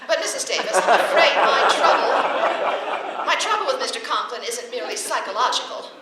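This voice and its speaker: An actress playing a quick-witted, long-suffering schoolteacher in a vintage radio comedy, delivering dry, sardonic wisecracks with crisp timing. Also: female voice, on the high side, very animated, over a noisy crowd. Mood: rueful. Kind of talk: vintage radio comedy acting before a laughing audience. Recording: echoey old radio stage recording, faint noise.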